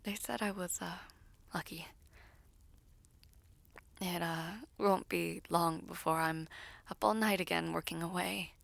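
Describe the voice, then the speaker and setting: A woman playing a guilt-ridden, weary young villainess sorceress in an intimate audio roleplay, speaking haltingly with breathy, half-whispered softness. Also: on the low side, steady tone, dry recording, heavily acted. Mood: weary, hesitant.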